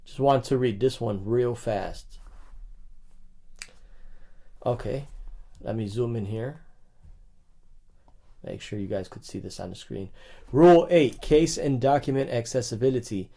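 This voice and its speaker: A young man hosts a casual livestream, reading legal rules aloud and breaking off to chat with viewers. His voice is mellow and dark at a middle pitch. He reads in quick bursts with small pauses between passages. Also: creaky. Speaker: livestream host.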